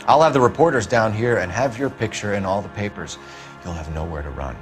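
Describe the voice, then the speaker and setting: An actor playing a stern, by-the-book police detective in a video game, clipped, formal and authoritative. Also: male voice, fairly low pitch, lively intonation, brisk, soft background music, close to an everyday voice. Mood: stern, pressing. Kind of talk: scripted video game dialogue.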